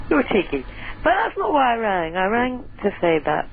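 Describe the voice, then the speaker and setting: A woman calls in to a late-night radio show, chatty and quick to giggle. Her voice is a little hoarse and comes through a tinny phone line with a touch of hiss. Her pitch leaps up and down as she talks. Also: wildly swooping pitch. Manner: chatty, giggly, self-deprecating. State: voice going hoarse. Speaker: caller. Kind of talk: phone-in chat.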